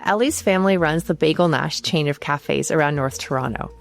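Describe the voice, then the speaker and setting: A woman's voice: low voice, fairly quick, creaky. A woman co-hosting a podcast, introducing the show and guests in an earnest way. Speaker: podcast co-host. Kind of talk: scripted podcast narration.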